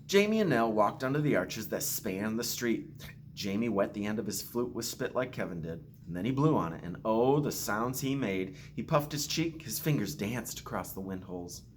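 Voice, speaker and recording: Low-voiced man reading a storybook. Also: moderate pace, slight background noise. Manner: expressive, with playful asides. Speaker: school staff member reading aloud to children. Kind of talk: storybook read-aloud.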